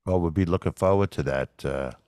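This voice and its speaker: A middle-aged man with a New York accent and a very low, deep voice, hosting a casual interview. He jokes easily and keeps it light. His studio mic sounds close and dry. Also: a little fast, a little mellow, no room sound. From the Bronx, New York. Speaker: podcast host. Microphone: studio mic with headphones.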